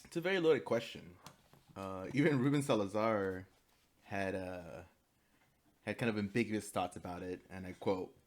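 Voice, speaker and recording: Halting young man, low voice. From United States. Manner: halting, many fillers and restarts; switches into fluent Spanish. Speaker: student. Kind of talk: spoken class presentation.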